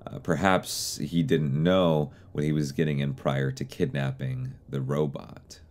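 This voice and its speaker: A man giving measured, somewhat tentative explainer commentary on horror videos as the host and narrator. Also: very deep voice, little pitch movement, darkish tone.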